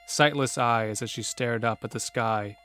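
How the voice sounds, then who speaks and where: A man's voice: medium pitch, steady tone, unhurried. A man reading scripted narration for a horror audio drama, as a storyteller. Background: music under the narration.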